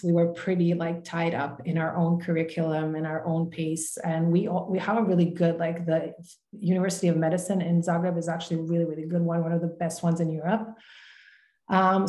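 A young Croatian woman speaks English with a soft, mellow tone that stays fairly level. She tells her story in a relaxed, open way, full of "like" and "um". Her webcam picks her up in a roomy, echoing room. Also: on the low side. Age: young adult. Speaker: podcast guest. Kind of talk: casual interview chat. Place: home room, video call. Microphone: video call webcam.

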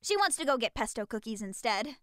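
A woman's voice: a little high, big pitch swings, brisk, dry recording, clean studio sound. A woman playing a small, perky but fierce non-binary lawyer in an audio drama. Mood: worried.

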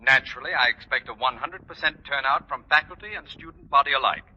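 An actor playing a pompous, irascible middle-aged principal with clipped, flowery diction in a 1940s radio sitcom. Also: male voice, medium pitch, fast talker, dull audio, natural voice. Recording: noisy band-limited 1940s radio recording.

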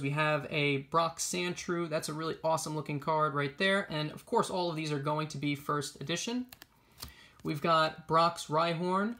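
A man's voice: on the high side, vocal fry, bright, forceful tone. A young man giving casual, chatty show-and-tell commentary as an enthusiastic collector hosting a video. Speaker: trading card collector and video host. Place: indoors, small room at a table.

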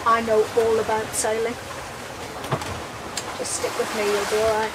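A woman's voice: fairly high pitch, completely flat delivery, moderate pace. A woman in her fifties chatting playfully on camera aboard a sailboat at sea, filmed with a handheld action camera. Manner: chatty, playful, self-mocking. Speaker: sailing vlogger. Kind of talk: casual chat on camera. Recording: loud wind and wave noise. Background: loud wind and waves.

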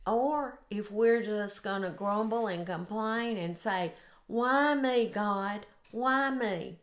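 An older woman with a Southern US accent, sharing an informal devotional in an earnest, deliberate way. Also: medium pitch. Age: 60s or older. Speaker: host of a devotional video. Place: home kitchen, indoors.

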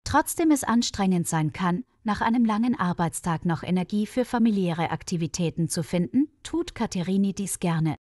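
Woman reading a story for language learners, careful phrasing, mid pitch, really dry sound. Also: expressive, normal speed, hardly any pauses, a little mellow, no echo. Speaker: narrator of a German listening-practice story.

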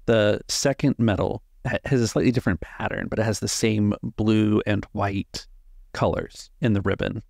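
Man, game master narration, lowish creaky voice, halting delivery, dry close sound. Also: fairly even intonation, darkish tone, no room sound. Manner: measured, halting delivery with drawn-out words. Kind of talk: improvised tabletop game narration.

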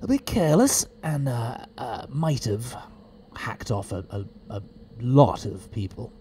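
Casting: male actor for eccentric alien time traveller, audio drama; mellow, swooping pitch, stammering, excitable. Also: unhurried, slight background noise. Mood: flustered, rambling.

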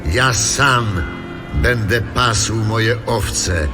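An actor playing God as a solemn, protective shepherd, reciting scripture in a declamatory way for an audio Bible drama. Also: male voice, fairly low pitch, extremely slow. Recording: loud music bed under voice.

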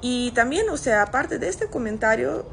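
A woman's voice: on the high side, expressive, normal speed, vocal fry, fairly bright tone, a little hiss or hum. A woman in her thirties from Russia, with a Russian accent, chatting casually to camera as she replies to comments. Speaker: social media content creator. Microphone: phone at arm's length.

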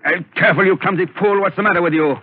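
An actor in 1930s radio drama playing a scheming male villain who controls minds, speaking smoothly and theatrically. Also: sits high, very fast. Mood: angry. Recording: tinny old radio broadcast recording.

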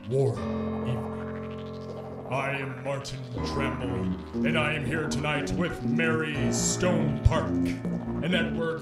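A man playing a grave, florid 1920s radio horror host, theatrical and deliberate in his old-time radio delivery. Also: medium pitch, far from the mic, close to an everyday voice. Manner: theatrical, portentous, slow deliberate phrasing. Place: dark small performance stage. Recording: echoey live stage, music behind.

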